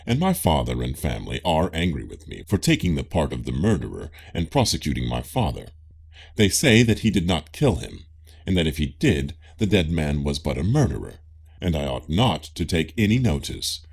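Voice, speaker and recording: A man's voice: rumbling low, huge pitch swings, normal speed, creaky, darkish tone, voice pushed down, heavily acted. A man voicing a self-assured, pompous Greek man of religion in a declamatory audiobook reading of a philosophical dialogue. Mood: matter-of-fact, righteous.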